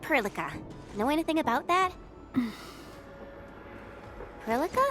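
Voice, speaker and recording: Animated, inquisitive young teammate. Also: female voice, sits high, a little slow, full character voice. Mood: curious. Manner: informal, animated. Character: casual, inquisitive, expressive young female teammate. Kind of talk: video game story voice acting. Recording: prominent music behind the voice.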